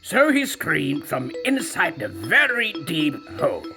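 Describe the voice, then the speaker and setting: A man's voice: fairly high pitch, wildly swooping pitch, unhurried. A man narrating a storybook as a proud, magical ancient male tiger, in a theatrical, self-satisfied way. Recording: narration over loud music.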